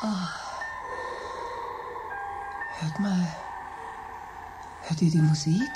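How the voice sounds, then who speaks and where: An actress playing a theatrical middle-aged dinner guest given to ominous pronouncements, in a radio play. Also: female voice, on the low side, slow pace, over music.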